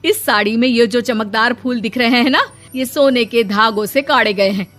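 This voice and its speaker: Lady doing a bossy cartoon saas, scolding all the time, kinda high voice. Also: fairly even intonation, a bit bright, soft background music, lightly acted. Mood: reproachful. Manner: bossy, quick-tempered. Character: scolding middle-aged mother-in-law. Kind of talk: Hindi cartoon voice acting.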